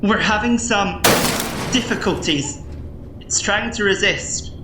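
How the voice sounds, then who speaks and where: A woman's voice: mid-range voice, slow pace, lightly acted. Sci-fi audio drama: dutiful female tech, actress, matter-of-fact, off-mic and distant through heavy noise. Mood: dutiful, brisk. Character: dutiful female security technician reporting to superior. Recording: echoey, distant, loud clicking noise.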